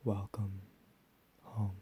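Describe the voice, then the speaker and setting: A man playing a deranged, menacing captor in a whispered ASMR roleplay, profane and slow with drawn-out emphasis. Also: on the low side, level tone, dark tone, slight background noise. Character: deranged menacing male captor, recently escaped asylum.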